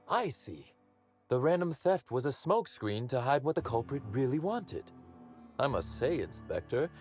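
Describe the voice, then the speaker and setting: An actor playing a polished underworld boss in a video game, speaking formally and articulately with wry menace. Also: male voice, medium pitch, a little mellow. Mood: impressed, intrigued. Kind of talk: video game character dialogue.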